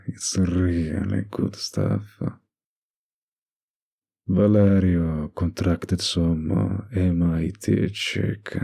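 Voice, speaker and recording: A man playing a smug, dominant mafia boss in an intimate ASMR roleplay, with a gravelly, husky voice and a suggestive, deliberate drawl. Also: low-pitched, warm tone, full character voice. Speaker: roleplay voice actor.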